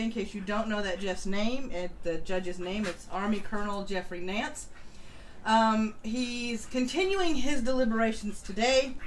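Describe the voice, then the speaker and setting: A woman's voice: a little high, a bit bright, slight background noise, low-quality recording. A woman hosting a live stream, chatting casually and bluntly about the news and talking to her viewers. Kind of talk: casual news commentary and chat. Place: home live stream over voice chat.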